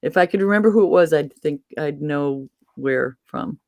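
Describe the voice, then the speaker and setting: A woman's voice: sits low, moderate pace, darkish tone, no room sound. A woman from Massachusetts chatting casually as host, rambling and losing her train of thought. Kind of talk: casual chat.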